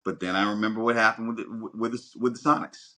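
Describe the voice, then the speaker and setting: A man's voice: lowish voice, steady tone, bright, forceful tone. A man co-hosting a podcast, talking casually and emphatically, often repeating words as he works through his point. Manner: emphatic, repeats words, halting rambling delivery. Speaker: podcast co-host. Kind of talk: casual opinionated discussion.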